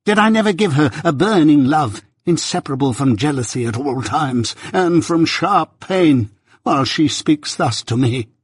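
A man voicing a bitter, possessive old adoptive mother in a novel reading, with impassioned, drawn-out, wailing cries. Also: mid-range voice, expressive, fairly bright tone, breathy edge, no room sound, studio quality, full character voice. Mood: bitter, anguished.

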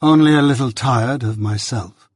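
A man voicing a proud, self-possessed young adopted daughter in a novel reading, speaking with composed, measured, unyielding delivery. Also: medium pitch, husky, no echo, clean studio sound, close to an everyday voice. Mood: cool reproach.

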